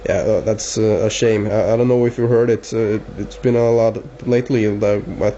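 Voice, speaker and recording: A man with a Norwegian accent chatting casually as a radio operator, halting and repeating words. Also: lowish voice, fairly even intonation, dark tone. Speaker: amateur radio operator filming the contact. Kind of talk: casual two-way radio chat. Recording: dull lo-fi camera sound, faint hiss.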